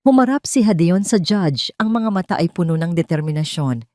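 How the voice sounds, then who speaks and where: Casting: Filipino female storyteller for scripted romance drama, lower register, close dry sound. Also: expressive, moderate pace, darkish tone, no echo. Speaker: story narrator.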